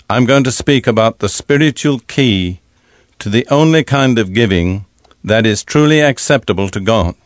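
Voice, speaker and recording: Older man, Bible teacher, sermon, measured pauses, dry sound. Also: fairly low pitch, dry recording.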